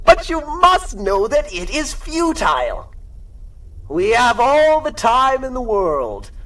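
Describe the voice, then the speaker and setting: An actor playing a gloating, sadistic supervillain in a pulp radio drama, with mocking, drawn-out theatrical delivery. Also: male voice, very high-pitched, wildly swooping pitch, bright, forceful tone, far from the mic, exaggerated voice. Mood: gloating, menacing. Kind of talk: radio drama acting in 1930s pulp style.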